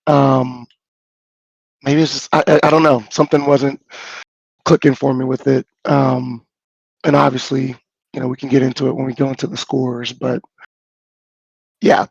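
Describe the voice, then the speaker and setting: Hoarse, gravelly man on a dull mic. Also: mid-range voice, no echo. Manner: hesitant, many restarts. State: hoarse from illness. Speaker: podcast co-host. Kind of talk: casual album review chat. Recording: dull lo-fi sound.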